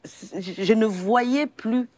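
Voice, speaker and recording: A young woman from the Democratic Republic of Congo, sharing a personal testimony as a guest in a quiet, halting, pausing way. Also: fairly high pitch, dry recording. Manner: soft, halting, pausing often. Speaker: guest evangelist. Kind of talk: personal testimony in a faith talk show.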